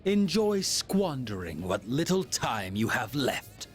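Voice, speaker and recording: Game villain: contemptuous, condescending, theatrical, unhurried delivery with very wide intonation. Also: male voice, medium pitch, very animated. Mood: cold disdain. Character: cold, contemptuous male villain with a lofty purpose. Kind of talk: dramatic video game voice acting. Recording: music under the voice.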